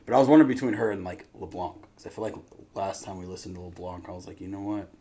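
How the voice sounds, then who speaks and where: A man's voice: sits low, very fast, warm tone. A man hosting a reaction video, chatting in a slangy, rambling, joking way. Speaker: reaction show host. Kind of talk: casual reaction chat. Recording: clear background noise.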